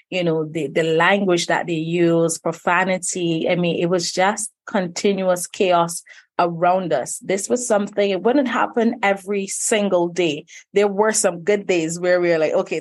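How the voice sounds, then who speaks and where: A woman tells her childhood story as a guest on a podcast. Her voice sits on the low side, and she speaks in an earnest, reflective way. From Barbados. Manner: earnest, reflective, with frequent "you know". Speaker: guest, life purpose coach. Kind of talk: personal storytelling in a podcast interview.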